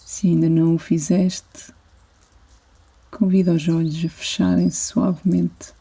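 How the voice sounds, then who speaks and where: Woman, European Portuguese accent, meditation guide, soothing, low-pitched, very even intonation, unhurried pauses. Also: creaky, slightly rough, poor audio. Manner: soothing, gentle, unhurried phrasing with long pauses. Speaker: yoga nidra instructor.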